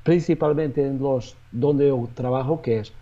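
A man, a native Portuguese speaker with a Portuguese accent, answering interview questions as a real estate agent in a halting way, with many restarts and fillers. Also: mid-range voice, mellow, lo-fi sound.

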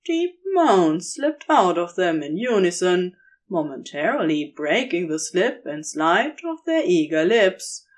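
Female narrator for romantic audio fiction, unhurried delivery with lively melodic swings. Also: lowish voice. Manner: slow, measured, expressive reading. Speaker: audiobook-style podfic narrator. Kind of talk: scripted fiction narration, intimate storytelling.

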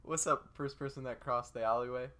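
A man's voice: mid-range voice, steady tone, a little fast, very noisy. A young man chatting casually as a podcast co-host, with a playful, teasing way of talking. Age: young adult. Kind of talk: casual banter.

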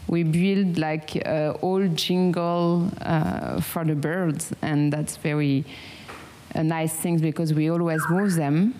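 A woman with a French accent, hosting a relaxed meditation chat and often pausing to search for words. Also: low-pitched, a little hiss or hum. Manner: halting, searching for English words, frequent fillers. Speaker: meditation channel host. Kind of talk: casual guided meditation chat.

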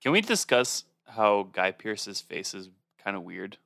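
Animated bright-voiced young man teasing friends. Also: on the low side, unhurried, creaky, dry recording, studio quality. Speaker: podcast co-host. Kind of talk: casual chat.

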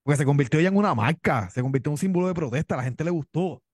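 A man's voice: fairly high pitch, animated, racing, darkish tone, no room sound, studio quality. A man with a Cuban accent, a wrestler telling his story in an interview, boastful and tough, often repeating himself. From Cuba. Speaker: pro wrestler guest.

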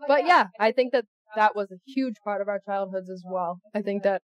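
A woman's voice: mid-range voice, normal speed, fairly bright tone, dry recording, lo-fi sound. A young woman from Boston with a Boston accent, co-hosting a podcast in casual chat, gushing and emphatic. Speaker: podcast co-host.

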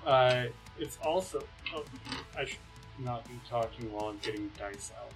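A man running a tabletop game as game master, narrating casually in a halting, conversational way. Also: medium pitch, soft background music, rough recording.

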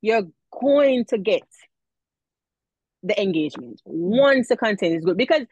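A woman with a Jamaican accent talks as an interview guest, animated and quick to laugh, repeating phrases as she goes. Her voice sits mid-pitch, dry and close. The audio is dull and lo-fi. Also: slightly rough, no echo. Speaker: guest, mom influencer. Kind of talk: casual interview chat.

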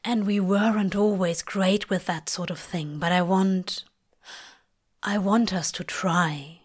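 A woman voicing a laid-back, plain-spoken man in a storybook, gently reassuring and a little hesitant. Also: fairly even intonation, takes it slowly, husky. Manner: low-key, matter-of-fact, hesitant.

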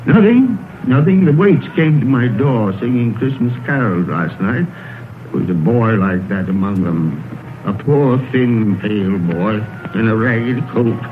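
A man's voice: fairly low pitch, animated, unhurried, phone-quality sound, slight background noise. A man acting an old, emotional, remorseful miser in a theatrical old-time radio drama style, exclaiming and repeating his words. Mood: regretful. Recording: old radio broadcast, thin band-limited, music behind.

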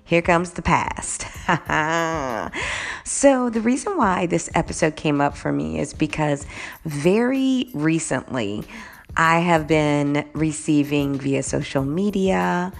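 Solo podcast host: woman with a slightly groggy voice, low and gravelly, casual talk. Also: animated, slow pace. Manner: warm, conversational, says "right?" and "y'all" a lot.

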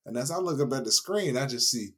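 A man with a raspy, worn voice co-hosts a casual podcast. His pitch swings widely as he tells a story, and he keeps breaking into laughs and acted-out reactions. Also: fast talker. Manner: animated storytelling, laughing, mimicking with big pitch jumps. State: hoarse after a concert. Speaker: podcast co-host. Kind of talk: casual chat.

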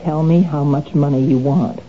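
An actress playing a frightened middle-aged housewife in a 1970s radio drama, anxious and pleading. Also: female voice, deep voice, fairly even intonation, moderate pace, natural voice. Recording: dull old radio recording, loud hiss.

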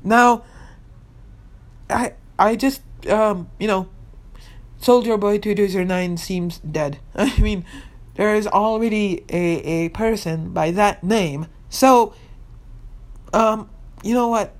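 A woman's voice: mid-range voice, slow pace, long pauses. An eighteen-year-old woman hosting her own podcast in casual, rambling chat, full of fillers and restarts, earnestly insisting she means what she says. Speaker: podcast host and YouTuber.

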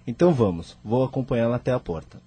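A mellow, very husky Brazilian male doctor played by an actor in a radio soap. He is attentive and businesslike, with lively intonation. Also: medium pitch, quick pace.

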